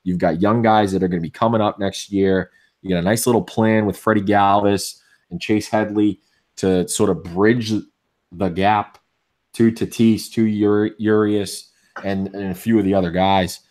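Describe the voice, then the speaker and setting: A man with a Boston accent co-hosting a casual sports podcast, opinionated and full of restarts, with a voice going hoarse. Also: on the low side, steady tone, measured pace, darkish tone. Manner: opinionated, many restarts and fillers. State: losing his voice. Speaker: podcast co-host.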